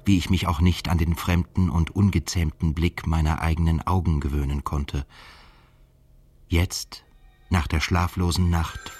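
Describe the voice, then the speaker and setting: Deep voiced gloomy guy telling his story in a radio play, slow-burn introspective, music behind. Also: darkish tone. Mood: brooding, uneasy. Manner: measured, introspective, scripted. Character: brooding, melancholy male journalist telling his story. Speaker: narrator and protagonist. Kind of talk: literary radio drama narration. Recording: music under the voice.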